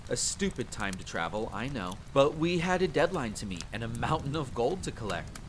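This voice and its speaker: A man voicing a vampire hunter who theatrically recounts his dark boyhood by a campfire in an audio roleplay, with wry asides. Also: slight background noise, close to an everyday voice, low-quality recording. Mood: wry, dramatic. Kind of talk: dramatic first-person storytelling, audio roleplay. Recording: steady rain and rumbling storm ambience. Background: rain and rolling thunder.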